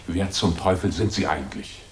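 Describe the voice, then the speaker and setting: A man's voice: deep voice, normal speed, a little hiss or hum, natural voice. An actor playing a big, bossy gunman in a noir radio drama, curt, commanding and threatening. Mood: menacing, curt.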